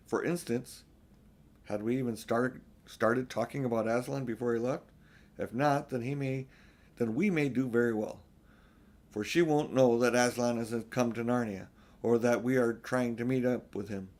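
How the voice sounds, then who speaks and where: A man voicing a motherly, practical female beaver from a storybook, reasoning in a measured way. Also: mid-range voice, close to an everyday voice. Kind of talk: storybook character voice in an audiobook.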